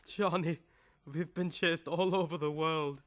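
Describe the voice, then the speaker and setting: A man's voice: on the high side, fairly even intonation, unhurried, close to an everyday voice. A man playing a nervy, wheedling doctor who is a crook's sidekick, in a live old-time radio play. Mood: needling.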